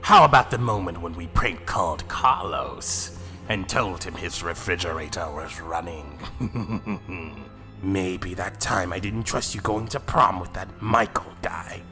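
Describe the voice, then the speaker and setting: A man acting as a cruel anime villain, deep and unhurried, savoring every taunt with mocking menace. Loud music plays behind him as he gloats. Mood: mock nostalgia. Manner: mocking, slow, menacing, chuckling. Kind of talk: fan-made anime roleplay drama acting. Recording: music playing loudly behind the voice.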